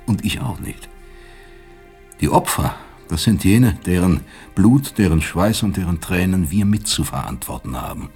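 An actor playing a bitter, resigned middle-aged professor in a radio play, lecturing in a measured, sardonic way. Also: male voice, low-pitched, a little mellow. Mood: grave, philosophical. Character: disgraced, bitter male professor, philosophical and resigned. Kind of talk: German radio play acting.

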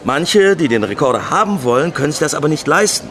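A man's voice: on the high side, very animated, fast, a little hiss or hum. A man playing a naive, romantic banker in a radio play, speaking earnestly in a natural, slightly rambling way. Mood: eager, explanatory. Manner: rambling, repeats himself, earnest. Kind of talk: naturalistic radio drama acting.

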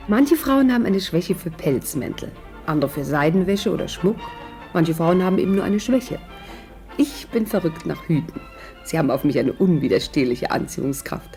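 A woman's voice: on the low side, animated, moderate pace, dark tone. An actress playing a witty, stubborn young woman in a 1950s German radio play, with ironic, theatrical banter. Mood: wry, confiding. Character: witty, stubborn young English actress, hat lover, narrating. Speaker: actress narrating and playing the heroine. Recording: 1950s radio recording, music behind.

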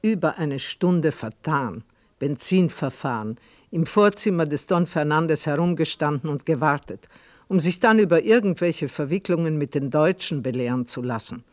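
An actor as a terse, observant storyteller, reading literary radio-play narration in measured, clipped phrases. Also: male voice, a little high, no room sound, close to an everyday voice. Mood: wry, observant.

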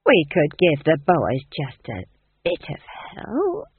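A man's voice: a little high, huge pitch swings, slow pace, slightly rough, no room sound, narrow, tinny audio, full character voice. A man doing a chatty old witch. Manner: chatty, rambling. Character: chatty cheerful old witch woman, meek.

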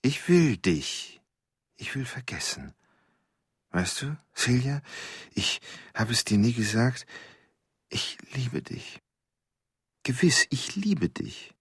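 A man's voice: mid-range voice, sing-song, takes it slowly, pauses often, a bit bright, slightly rough, no echo, studio quality, full character voice. An actor playing a morbid, brooding man as a killer's intimate inner voice in a radio drama. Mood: tender, desperate. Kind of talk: radio drama interior monologue.

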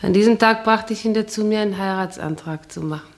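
An actress playing a sensual, dreamy, plain-spoken wife who muses to herself in a German radio drama monologue. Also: female voice, medium pitch, fast talker, lightly acted. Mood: musing. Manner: musing, intimate, talking to herself.

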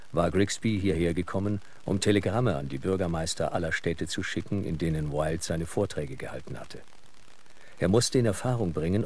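A very deep-voiced sober narrator of a western crime tale. His scripted delivery sits over faint ambient noise. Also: darkish tone. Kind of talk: scripted radio drama narration.

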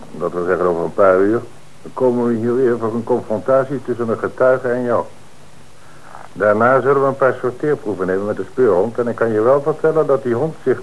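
An actor playing a calm, methodical police commissioner in an old radio play, speaking in a measured, firm way. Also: male voice, medium pitch, highs cut off, natural voice. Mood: stern. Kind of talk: old Dutch radio drama acting.

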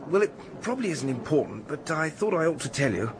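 High-pitched dithering upper-class English twit. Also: male voice, very animated, brisk, background noise. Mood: flustered. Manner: flustered, rambling, apologetic. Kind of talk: radio drama acting.

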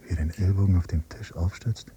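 An actor playing a brooding, detached adult son in a radio drama, narrating in terse, subdued phrases. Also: male voice, low-pitched, fairly quick, mellow, dull audio, lightly acted. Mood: brooding disgust. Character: brooding, detached adult son, quietly disgusted by his father. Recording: noisy, humming cassette copy.

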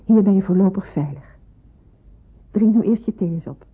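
Actress as a husky, firm middle-aged housewife, tinny recording. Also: female voice, medium pitch, moderate pace, close to an everyday voice. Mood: firm, reassuring. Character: stern, practical mother of a host household in wartime. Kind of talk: radio drama acting. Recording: tinny, narrow old radio recording.

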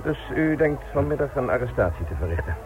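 An actor playing a haughty, powerful village gentleman in a radio play, formal, controlled and condescending. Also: medium pitch, big pitch swings, fairly quick, lightly acted, rough recording. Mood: guarded, cool. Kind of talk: radio drama acting.

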